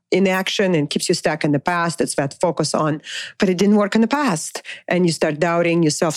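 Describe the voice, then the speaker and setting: Low-pitched woman coach, dry close sound. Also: a little mellow, dry recording. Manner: emphatic, repetitive, rhetorical questions addressed to the listener. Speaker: dating coach. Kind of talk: motivational coaching talk, informal and unscripted.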